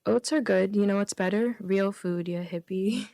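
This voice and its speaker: A woman's voice: mid-range voice, moderate pace, gravelly, mellow, no room sound. A woman guest on a radio comedy show, reading jokes aloud and bantering casually. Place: radio studio.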